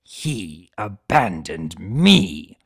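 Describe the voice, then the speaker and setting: A man voicing a bitter, wounded old centaur villain in a dramatic story reading, slow and brooding. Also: big pitch swings, gravelly, a bit bright, husky, full character voice. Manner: slow, low, brooding delivery.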